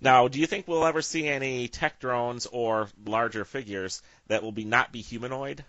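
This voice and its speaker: A man's voice: medium pitch, steady tone, moderate pace, fairly bright tone, no echo. A man asking casual questions as an interviewer, on a slightly dull, lo-fi recording.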